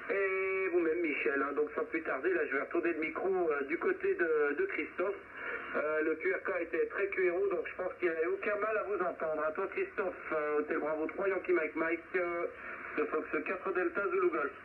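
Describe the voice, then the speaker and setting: Male radio net operator, high voice, casual relay style, phone-like band with light noise. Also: fairly even intonation, moderate pace. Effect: radio transmission. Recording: tinny radio link, faint noise.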